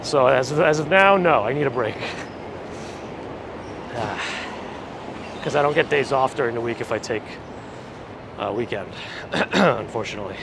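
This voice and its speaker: Man, live-stream host, casual chat, slightly gravelly, phlegmy, walking outdoors, loud street traffic behind. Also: medium pitch, normal speed, pauses often. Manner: relaxed, chatty, dry asides. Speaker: livestream host. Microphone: handheld gimbal camera rig with recorder. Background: traffic and city ambience.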